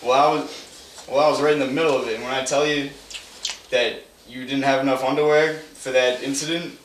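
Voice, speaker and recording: A man's voice: medium pitch, steady tone, a little fast, lightly acted. Young man playing a petty gossip, distant and echoey. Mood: boastful rambling. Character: petty, gossipy man who mocks others. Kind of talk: amateur one-act stage play dialogue. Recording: echoey room, distant mic, hissy noise.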